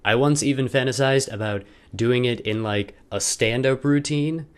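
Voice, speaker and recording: A man's voice: medium pitch, normal speed, clear, resonant tone. A man speaking in a wry, self-deprecating way with joking asides, as in a personal video made by its creator. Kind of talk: casual vlog intro, then loose dramatic reading of a personal letter.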